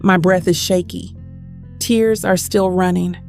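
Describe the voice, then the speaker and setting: An actress playing a grieving, shaken young woman who narrates her heartbreak haltingly in an audio drama. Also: lowish voice, steady tone, a little mellow, soft background music. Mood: tearful grief.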